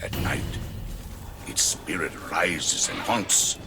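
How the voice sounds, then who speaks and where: Very deep, grave male game guide. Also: expressive, vocal fry, full character voice. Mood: grave warning. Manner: solemn, deliberate. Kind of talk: video game voice acting. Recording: loud music and rumble behind.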